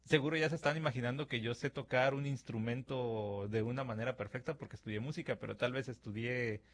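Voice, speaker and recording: A man's voice: medium pitch, fairly even intonation, fast, a bit bright. Mexican man hosting a reflective monologue. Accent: Mexican Spanish. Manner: conversational, acts out imagined dialogues with filler words. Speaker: radio-style podcast host. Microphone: studio microphone.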